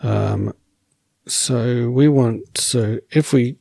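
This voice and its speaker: A man thinking aloud while writing code as a programming tutor, relaxed and wry, correcting himself as he goes, and losing his voice. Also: mid-range voice, extremely slow, creaky, no room sound, clean studio sound.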